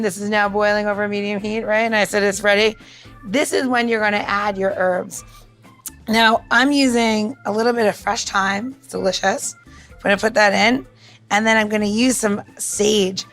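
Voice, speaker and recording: A woman's voice: fairly high pitch, a bit bright. A woman co-hosting a podcast, talking in a casual, instructional way with an informal, emphatic and jokey manner. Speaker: podcast co-host demonstrating drink recipes.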